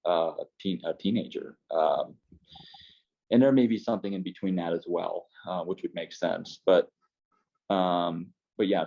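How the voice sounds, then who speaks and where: A man's voice: sits low, warm tone, lo-fi sound. A man recalling his adoption in an interview, speaking hesitantly with many fillers and restarts. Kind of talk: personal interview.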